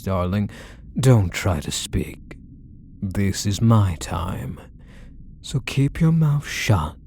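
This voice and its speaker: Male actor as possessive kidnapper husband, ASMR roleplay, unhurried deliberate delivery, mellow tone, condescending menace, controlling. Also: on the low side, slight background noise, full character voice. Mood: cold, commanding. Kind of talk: scripted ASMR roleplay, addressing the listener.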